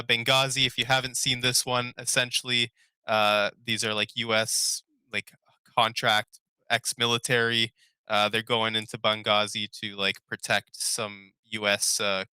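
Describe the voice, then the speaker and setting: Young male podcast co-host, very bright, forward voice, dry close sound, informal and loose delivery. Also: mid-range voice, smooth, no room sound. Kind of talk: casual chat about favorite movies.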